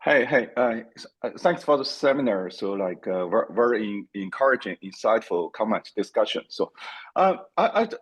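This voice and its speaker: A man in an online workshop making a comment haltingly, full of repeated words and "like, uh". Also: fairly low pitch, big pitch swings, slightly rough. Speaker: workshop participant. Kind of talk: casual discussion comment.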